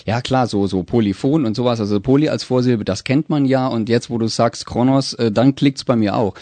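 A male radio host interviewing a guest, chatty and quick to laugh. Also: mid-range voice, warm tone, dry recording.

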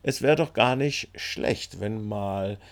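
A man with a fairly low voice gives local news commentary. His delivery is wry and colloquial, and he feels his way through longer sentences with some halting. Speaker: reporter.

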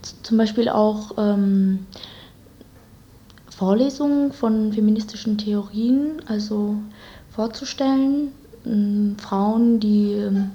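Mellow-voiced young woman, radio guest. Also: mid-range voice, slow pace, highs cut off. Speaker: interview guest, student activist. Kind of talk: casual, spontaneous interview answers.

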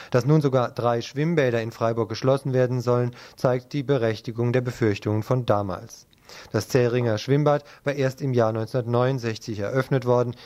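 A man reads local news from a script in a plain, steady manner. His voice is mid-pitched, neither high nor low for a man. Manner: steady, matter-of-fact reading. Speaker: radio news reader.

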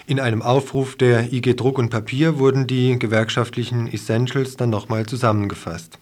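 A man's voice: medium pitch, flat delivery, moderate pace, darkish tone. A man presenting a scripted news report on the radio.

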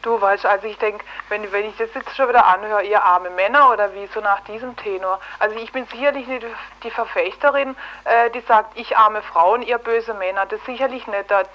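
A woman's voice: mid-range voice, brisk, hardly any pauses, muffled recording. An outspoken, opinionated woman arguing colloquially in a casual radio discussion, often ending phrases with tag questions. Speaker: radio station editorial staff member calling in.

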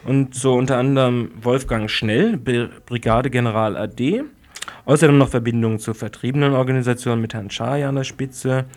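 A man's voice: medium pitch, steady tone, a little fast, darkish tone. A man presenting news on live radio in a casual, hesitant way, with filler sounds.

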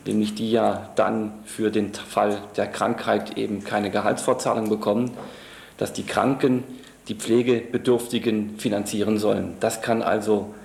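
A man's voice: fairly low pitch, vocal fry, darkish tone. A man giving formal, wordy interview answers as a trade union official. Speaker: trade union regional secretary being interviewed. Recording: echoey room, faint background noise.

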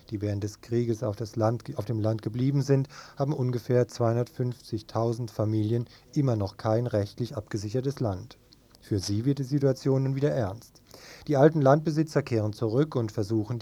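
A man presenting a radio news report, reading scripted narration in a measured, factual manner. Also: medium pitch, mellow, dry recording.

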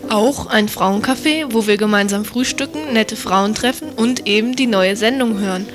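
A woman's voice: fairly high pitch, moderate pace. A woman reading a scripted radio promo as an announcer. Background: music.

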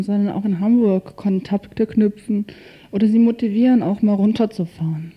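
A woman reporter speaks at a medium pitch, interpreting and commenting in an informal, improvised way. Her phrasing halts as she searches for wording. The radio recording is dull and lo-fi. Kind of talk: spoken consecutive translation and informal radio commentary.

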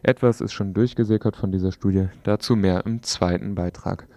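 A man hosts a radio news show with informal announcements. His voice is fairly low, recorded dry with no audible room. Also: steady tone, normal speed, mellow, no room sound.

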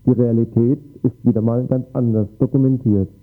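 A man's voice: medium pitch, steady tone, moderate pace. A man presenting local radio news, reading from a script. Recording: thin narrow-band radio, faint music.

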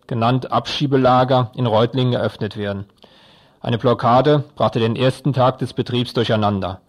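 A man reading scripted radio news as a presenter. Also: mid-range voice.

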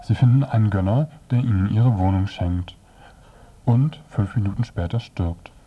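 A man presenting a scripted review on the radio as narrator, with measured, halting phrasing. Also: lowish voice, muffled recording, slight background noise.

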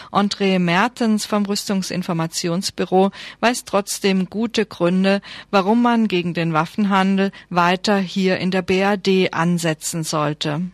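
A woman's voice: on the low side, no echo. Woman radio reporter, dry close sound. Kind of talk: interview questions and scripted radio report.

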